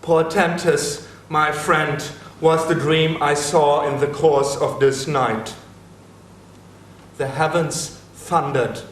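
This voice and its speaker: A man reading the part of a fierce, troubled wild hero in a dramatic reading, slow and measured. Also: on the high side, fairly bright tone, slight background noise. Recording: echoey room with faint ambience.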